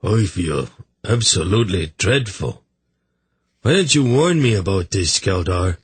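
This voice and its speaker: A man's voice: low-pitched, lively intonation, a little slow, slightly rough, full character voice. A man voicing a miserable, self-pitying hungover man of royal rank in a storybook, plaintive and moaning weakly. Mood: suffering, plaintive.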